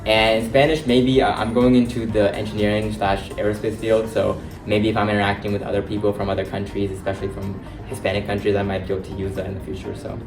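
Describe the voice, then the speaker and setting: A native Hindi-speaking teenage boy answers interview questions earnestly, his sentences fading off at the end. He sounds a little distant in a roomy space. Clear music runs under his voice. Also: fairly low pitch, little pitch movement, fast talker, few pauses. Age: late teens. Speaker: high school senior.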